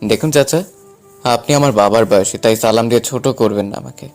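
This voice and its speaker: A man's voice: mid-range voice, brisk, a little mellow, soft background music, close to an everyday voice. A man playing a cheeky but caring young man, the younger son of the family, in an audio story. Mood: polite. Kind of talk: Bengali audio story acting.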